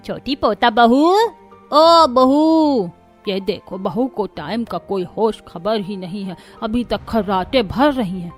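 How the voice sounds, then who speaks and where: A woman voicing a bossy old village grandmother-in-law in a Hindi-style family cartoon, with drawn-out scolding calls. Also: medium pitch, expressive, faint music behind. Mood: impatient scolding.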